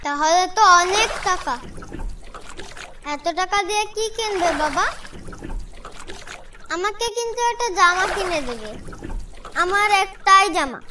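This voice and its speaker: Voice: very high voice, animated, a little slow, long pauses, distant mic, background noise. A performer voicing a curious, stubborn and anxious little village boy in a cartoon, full of insistent questions. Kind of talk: cartoon story dialogue.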